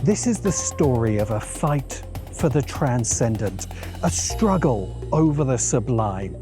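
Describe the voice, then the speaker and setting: Man narrating with lively, dramatic pitch. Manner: dramatic, musing delivery with dry asides. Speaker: host of a science fiction video essay channel. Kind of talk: scripted video essay narration.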